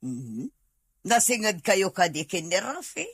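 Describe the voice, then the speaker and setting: A woman's voice: medium pitch, very animated, unhurried, slightly rough, no room sound, exaggerated voice. A woman with an Ilocano Filipino accent playing a scornful middle-aged mother in a drama, disdainful and accusing. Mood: probing. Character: haughty, scornful middle-aged mother guarding her son. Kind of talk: radio-style comedy drama acting.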